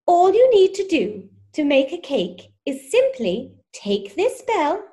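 An actress plays a prim lady teacher on a spoof children's show. Her voice is high and swings widely, with a slow, sing-song delivery. She is gushing and jolly, with condescension and menace underneath. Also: big pitch swings, mellow, heavily acted. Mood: gushing, condescending. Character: prim, gushing, sinister children's TV schoolteacher woman. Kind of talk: parody children's show presenting.

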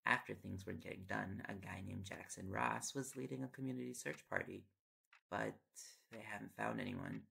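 A man's voice: lowish voice, normal speed, creaky, pushed and bright, heavily acted. A man playing a tired, affectionate young reporter boyfriend in an intimate audio roleplay, playful and coaxing in manner. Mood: absorbed, musing. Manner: playful, coaxing, rambling when on a topic. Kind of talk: intimate audio roleplay, sleep-aid acting.

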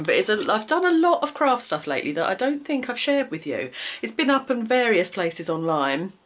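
Low-voiced woman with a sniffly cold. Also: a bit bright. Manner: chatty and rambling, trailing off and restarting. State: sore throat and sniffly cold. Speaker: craft vlogger. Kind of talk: casual chatty vlog. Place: home craft room, indoors. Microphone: camera close to face, then pointed down at the desk.

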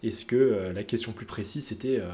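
A man hosting a live stream interview over a headset, calmly reading out viewer questions. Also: lowish voice, fairly quick. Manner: relaxed, reads viewer questions. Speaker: interview host.

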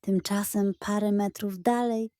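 A woman narrating a scripted bedtime story for children in an expressive, playful way. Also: mid-range voice, a little slow, smooth, no echo, studio quality.

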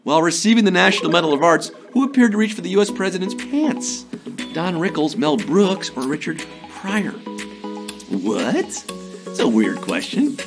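A man in his thirties hosting a live trivia show from a studio, playful and jokey, a little hoarse from a sore throat. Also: high voice, big pitch swings, over music. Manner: playful, jokey, slips into quick impressions and sung bits. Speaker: trivia game show host. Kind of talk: upbeat live quiz hosting. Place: studio before a green screen.

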